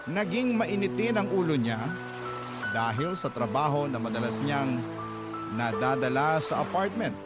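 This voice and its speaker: Male narrator over loud music. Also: medium pitch. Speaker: radio drama narrator reading a listener's letter. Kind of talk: scripted first-person narration over music.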